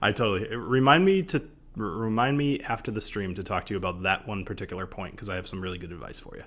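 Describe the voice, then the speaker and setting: A man in his thirties with a fairly low voice hosts a casual live stream. He talks in a rambling, conversational way with a joking streak. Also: quick pace, a bit bright. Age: 30s. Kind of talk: casual chat about whiskey on a live stream.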